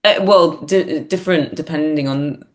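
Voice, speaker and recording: A woman's voice: sits low, normal speed. A woman reflecting as an interview guest, speaking hesitantly and often searching for words. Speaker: podcast guest, theatre writer and performer.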